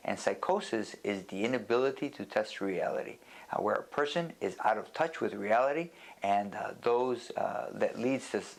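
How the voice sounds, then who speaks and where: A man speaking as a doctor in an unscripted educational lecture, explaining things in plain words with a halting, deliberate delivery. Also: on the low side, vocal fry.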